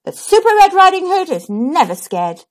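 Woman as a high, spirited little superhero girl. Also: moderate pace. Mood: bold. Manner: bold, declarative, expressive. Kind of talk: children's storybook read-aloud.

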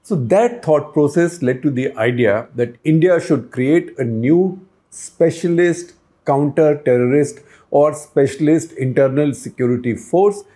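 A man's voice: fairly high pitch, mellow. An older man hosting a news explainer, talking to camera in a conversational way and posing questions to the viewer, recorded on a lapel mic in a book-lined office.

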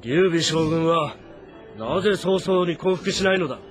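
A man playing an earnest, composed warrior commander who speaks in a measured, probing way in a game drama. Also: a little high, faint music behind, natural voice. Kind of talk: dramatic audio-novel game acting.

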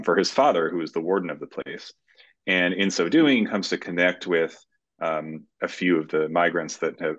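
A man answering interview questions conversationally as a screenwriter, in a halting way with repeated words, recorded over a video call. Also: low voice, a bit bright, dry recording. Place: indoor room, video call. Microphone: video call microphone. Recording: slightly dull video-call audio.

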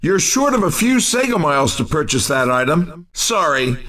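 A man voicing an upbeat, excitable racing video game announcer with a punchy, showy, cheerful delivery. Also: on the high side, normal speed, far from the mic. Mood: apologetic. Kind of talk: video game announcing, race commentary and shop greetings.

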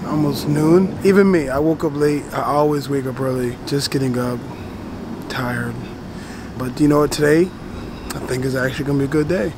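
A man in his thirties vlogging to a handheld camera at arm's length outside a house, chatty and excitable. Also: fairly high pitch. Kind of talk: casual vlog talk to camera. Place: outdoors at a house with a pool. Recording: noisy outdoor background.

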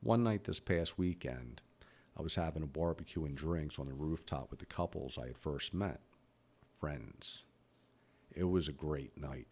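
Gravelly deep-voiced man in his fifties. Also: measured pace, darkish tone. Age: 50s. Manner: stutters, blunt and candid. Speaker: podcast host. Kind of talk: personal reflective monologue.

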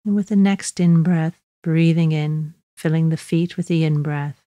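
Woman, meditation guide, deep relaxed voice, slow measured phrasing, long pauses, gentle instruction. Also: no echo. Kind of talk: guided body scan meditation narration.

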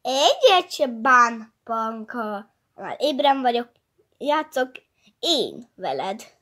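A woman voicing a small, shy, sleepy hedgehog in a children's story, speaking in a timid, stumbling, gentle way. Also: on the high side, very animated, voice pushed up. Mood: friendly. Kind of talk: storybook character voice.

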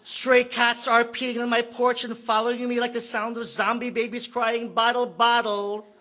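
A man in his thirties performs spoken word poetry from a stand mic on a theater stage. His voice sits high and sounds bright and forceful. He shifts from a frantic comic rant to a hushed, lyrical lament. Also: little pitch movement, normal speed. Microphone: stand mic, far camera.

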